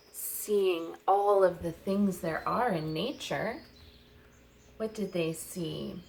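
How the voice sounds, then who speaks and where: A woman childcare educator telling stories and playing with toddlers in a sing-song, animated, child-directed way, using simple phrases. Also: on the low side, gravelly, a little hiss or hum. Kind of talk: sing-song picture book storytelling and play for toddlers.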